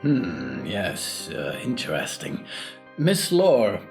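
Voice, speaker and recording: Guy acting as careful polite old-fashioned mentor in an audio drama, halting but really animated melody, bit of guitar behind. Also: deep voice, full character voice. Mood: thoughtful, grave. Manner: halting, measured, courteous. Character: gentle, cautious confined mentor with odd scholarly musings. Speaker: teacher to a student.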